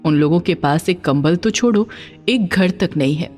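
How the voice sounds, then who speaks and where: A woman narrating a scripted dramatic story in an expressive, emphatic way. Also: fairly low pitch, moderate pace, faint music behind.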